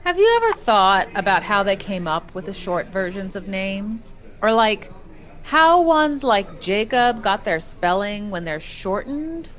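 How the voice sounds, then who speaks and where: A woman playing an airy, rambling character in a radio play, casual, musing and unhurried. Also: medium pitch, big pitch swings, fairly bright tone. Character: airy, rambling woman stalling with idle questions.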